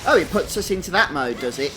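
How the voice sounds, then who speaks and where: A man's voice: on the high side, expressive, fast talker. Man streaming over game music. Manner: chatty, thinking aloud, tag questions. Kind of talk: live video game commentary. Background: video game music.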